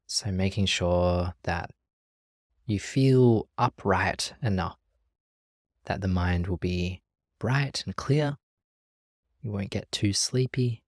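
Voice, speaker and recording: A meditation teacher leading a guided practice in a gentle, calm, measured way with long pauses. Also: low-pitched, no echo, clean studio sound.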